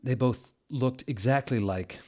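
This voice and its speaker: Slow, theatrical man reading comic fiction. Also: mid-range voice, darkish tone. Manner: deliberate, theatrical, with incredulous asides. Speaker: dramatic reader of bad fan fiction. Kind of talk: comic dramatic narration.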